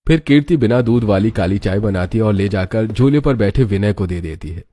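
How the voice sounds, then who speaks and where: A man narrates a cartoon story in a scripted storytelling style. His voice is on the low side, with music playing behind him. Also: expressive, brisk, warm tone, dry recording.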